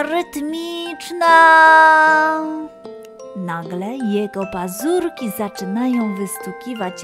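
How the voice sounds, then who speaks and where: A woman narrating a scripted children's story in an expressive, deliberate manner. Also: medium pitch.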